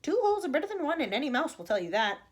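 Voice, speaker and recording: A woman voicing an eccentric, excitable male inventor in a storybook, theatrical and exclamatory. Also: high-pitched, racing, pitched above the performer's own voice. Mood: brisk, insistent. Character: eccentric excitable man, grand fantastical inventor.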